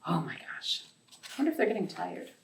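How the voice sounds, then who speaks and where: A woman's voice: medium pitch, very animated, brisk, slight background noise. A woman hosting a children's storytime, speaking in a sing-song way and putting questions to young listeners, recorded in an echoey room at a distance from the microphone. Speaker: library storytime host. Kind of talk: children's read-aloud storytime with counting rhymes. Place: echoey indoor room, away from the mic.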